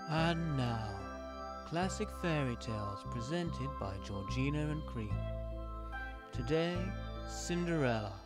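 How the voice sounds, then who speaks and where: Man as solemn storybook narrator over loud music. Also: medium pitch, lively intonation, slow.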